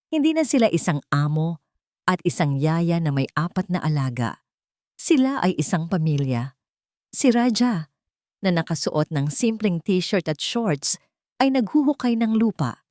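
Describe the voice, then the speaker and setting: Low-voiced woman narrating a sentimental story. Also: big pitch swings, a little slow, dark tone, dry recording, studio quality.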